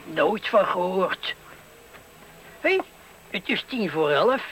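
A man's voice: high-pitched, big pitch swings, takes it slowly, a bit bright, full character voice. An actor playing a cheeky, chuckling old man who gossips on a bench in a radio play. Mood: curious, amused. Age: older. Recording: noisy 1970s radio play recording.

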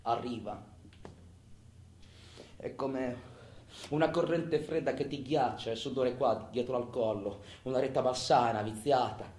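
An actor playing a tormented, volatile criminal in a theatrical monologue, foul-mouthed and swinging between muttering and outbursts. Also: male voice, mid-range voice, a little slow, pauses often, darkish tone, lightly acted. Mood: anguished. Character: tormented, volatile criminal man, taunting and cursing himself. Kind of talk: theatrical monologue, radio stage drama. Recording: roomy echo, faint background noise.

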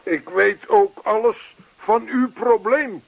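An actor playing an earnest, lonely old widower in a radio play, talkative and old-fashioned, repeating himself. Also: male voice, sits high, slow, vocal fry, phone-quality sound, exaggerated voice. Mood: warmly earnest. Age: older.

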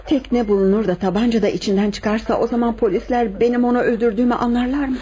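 A woman's voice: on the high side, steady tone, quick pace, dark tone, lightly acted. An actress playing an anxious, devoted woman with a guilty secret, hesitant and melodramatic, in a radio drama. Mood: uneasy.

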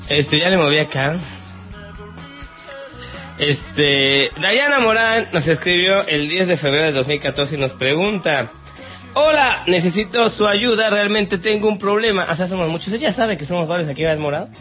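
A Mexican man with a fairly high voice hosts a casual listener Q&A podcast. He talks in a playful, theatrical manner. The sound is slightly dull and lo-fi, with soft music playing behind him. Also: moderate pace. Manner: theatrical, mock-exasperated, playful. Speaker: podcast host.

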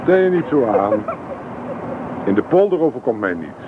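Man acting cocky farmer husband in radio play, lively pitch, dry teasing, tinny with car noise. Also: normal speed, natural voice. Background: vehicle noise.

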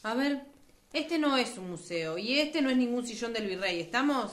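Una mujer con acento interpreta en un radioteatro aficionado a una empleada de clínica exasperada, enérgica, regañona y mandona. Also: female voice, a little high, animated, moderate pace, lightly acted. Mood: exasperated. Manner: scolding, bossy. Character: brisk, exasperated female clinic employee. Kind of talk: amateur radio play rehearsal.

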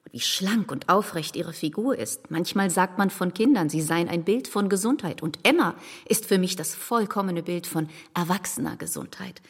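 Radio drama casting: a genteel, protective former governess, lowish husky voice, in a reverberant room. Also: female voice, normal speed, few pauses. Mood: admiring. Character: warm, loyal married former governess, gently defiant. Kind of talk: literary radio play dialogue.

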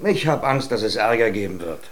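An actor playing a shrewd, stingy farmer with a coaxing manner in a children's radio play. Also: male voice, fairly low pitch, expressive, a little fast. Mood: cautioning, sly. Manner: calculating, coaxing. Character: shrewd, stingy farmer, falsely kindly husband.

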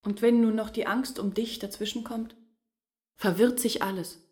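An actress playing a guarded, earnest village woman in a literary radio play, measured and intimate. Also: on the high side, fairly even intonation, dark tone, natural voice. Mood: grave, confiding.

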